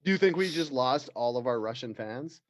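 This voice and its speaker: A man's voice: mid-range voice, animated, brisk. A man co-hosting a podcast in casual, profane, joking chat. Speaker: podcast co-host.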